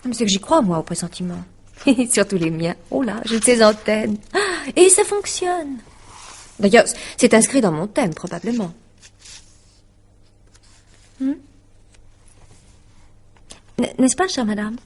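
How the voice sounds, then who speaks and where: An actress playing a chatty, scatterbrained, excitable wife who gushes, in a 1950s-style French radio drama. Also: female voice, a little high, measured pace, long pauses, breathy edge, full character voice. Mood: excited, confiding. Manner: bubbly, gushing, talkative. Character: chatty, scatterbrained, excitable married woman, believer in horoscopes.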